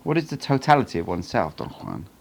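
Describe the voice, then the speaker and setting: A man's voice: fairly low pitch, wildly swooping pitch, quick pace, vocal fry, husky, heavily acted. A man voicing an earnest, puzzled apprentice writer in an audiobook, with a questioning way of talking. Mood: curious.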